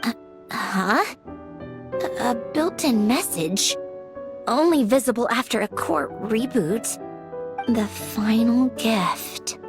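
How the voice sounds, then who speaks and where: A woman's voice: fairly high pitch, expressive, slow pace, pauses often, music in the background. A woman voicing a proud, prickly female automaton in a video game, speaking in a precise, scholarly, aloof way. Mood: startled, puzzled. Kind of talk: scripted game character dialogue.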